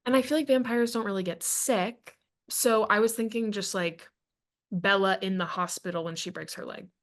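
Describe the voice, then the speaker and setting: A woman's voice: medium pitch, moderate pace. A woman co-hosting a casual comedic book chat, playful and sweary, sounding a little stuffy from a cold. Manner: playful, profane, mock-whiny. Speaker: podcast co-host.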